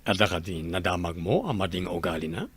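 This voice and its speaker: A man playing a calm widowed father in an audio drama, talking things over. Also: low-pitched, brisk, husky, heavily acted. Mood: calm, probing.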